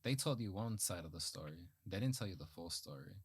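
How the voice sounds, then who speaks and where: A man's voice: low voice, expressive, a little fast, breathy edge, dry recording. A man in casual podcast conversation, speaking earnestly and repeating key phrases for emphasis. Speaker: podcast host.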